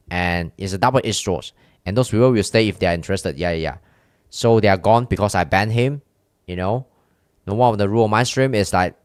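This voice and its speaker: Low-voiced man with a Chinese accent. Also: dry recording, clean studio sound. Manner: rambling, many restarts and fillers, candid. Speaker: streamer. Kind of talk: casual live-stream chat with chat.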